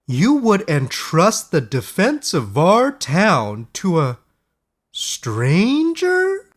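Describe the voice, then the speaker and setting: A man voicing a fussy, suspicious male town leader in a fairy-tale audiobook, exclaiming indignantly and haltingly. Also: sits high, wildly swooping pitch, pitched above the performer's own voice, full character voice. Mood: indignant disbelief.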